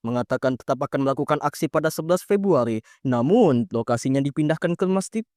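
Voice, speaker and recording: A man's voice: fairly high pitch, lively intonation, fast talker, darkish tone, no room sound, studio quality. A man anchoring a television newscast in a formal scripted style. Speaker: news anchor. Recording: music bed behind the voice.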